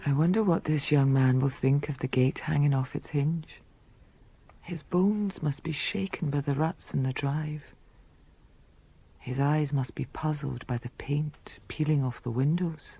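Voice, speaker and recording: A proud, breathy young gentlewoman. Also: low-pitched, exaggerated voice, poor audio. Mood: wry. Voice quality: breathy, half-whispered. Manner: slow, deliberate, intimate. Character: proud, mute young Scottish gentlewoman voicing sardonic inner thoughts. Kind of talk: audio drama interior monologue.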